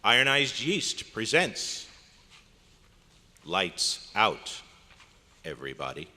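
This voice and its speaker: A man's voice: on the low side, sing-song, pushed and bright, full character voice. Man performing an ominous old-time horror radio announcer. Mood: solemn, ominous. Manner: slow, solemn, portentous delivery. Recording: echoey hotel meeting hall, noisy background.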